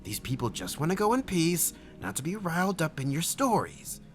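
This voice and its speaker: A man's voice: on the high side, big pitch swings, normal speed, faint music behind, pitched above the performer's own voice. A man voicing a brash, crude, easygoing young man with blunt, slangy talk in a narrated story. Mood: defiant, brash. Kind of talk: acted dialogue in a narrated story.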